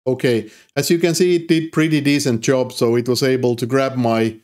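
A man in his thirties with a Finnish accent talks through a coding tutorial from his home office. His voice sits at an ordinary middle pitch, and he explains at an easy pace, pausing on "uh" between thoughts. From Finland. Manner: relaxed, explanatory, frequent "uh" fillers. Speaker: programming YouTuber. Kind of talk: casual screen-recorded coding tutorial.

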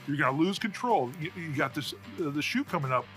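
A man voicing a nervy racer's spotter in a tabletop game, needling over comms in a halting, repetitive way. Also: fairly high pitch, animated, a bit bright, soft background music. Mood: needling, rambling. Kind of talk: in-character tabletop roleplay.

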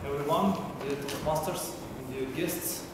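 Halting male host in an echoey room. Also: a little high, distant mic, noisy background. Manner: halting, many fillers. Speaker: meeting host. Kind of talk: hosting a public speaking club meeting. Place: large indoor meeting room. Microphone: far camera.

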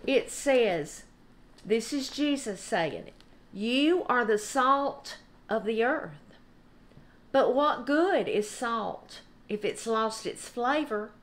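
An older woman giving an informal, unhurried devotional talk. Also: fairly high pitch, lively intonation. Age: older, about 70s. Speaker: devotional host. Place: home kitchen, indoors.

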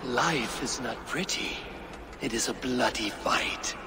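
An actor voicing an ancient, solemn male god in a video game, speaking in a grave, measured way. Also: mid-range voice, background noise, lightly acted.

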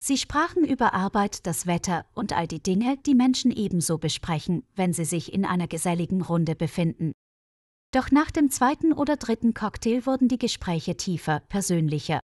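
A woman's voice: mid-range voice, lively intonation, moderate pace, darkish tone, no room sound, clean studio sound. A woman reading a scripted story for language learners, enunciating carefully as narrator.

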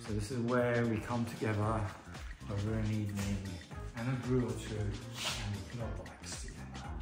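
A man in his forties telling a dry, deadpan tale in a brick workshop, filmed on a phone. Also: on the low side, moderate pace. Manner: dry, deadpan joking delivery. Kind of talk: casual deadpan storytelling. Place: inside a brick workshop room. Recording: roomy echo with music over it.

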